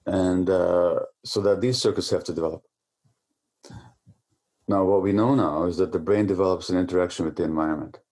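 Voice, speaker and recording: A man's voice: low voice, fairly even intonation, a little fast, a little mellow. A man being interviewed who explains at length in a lecturing way, often stumbling over repeated words as he restarts. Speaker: interview guest.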